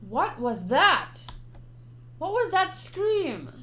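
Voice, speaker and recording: A woman's voice: extremely high pitch, drawn-out words. A young woman doing playthrough commentary, reading game text aloud expressively with chatty asides. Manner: expressive, chatty asides between read narration. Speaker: game streamer.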